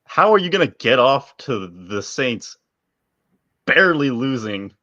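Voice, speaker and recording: A man's voice: mid-range voice, very animated, a little slow, sharp tone, no echo, lo-fi sound. A man co-hosting a sports podcast, chatting casually with emphatic opinions and some swearing.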